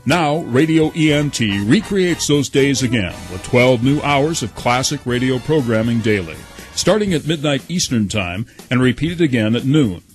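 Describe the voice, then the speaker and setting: A man working as a channel announcer, reading a scripted promotional voice-over. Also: medium pitch.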